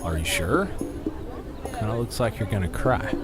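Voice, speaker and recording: Guy doing folksy circus boss man, jolly but smug, pitch all over, audio drama with music behind. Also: animated, close to an everyday voice. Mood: jovial. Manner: folksy, jovial, drawn-out showman phrasing. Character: folksy, self-satisfied circus boss, jovial and patronizing.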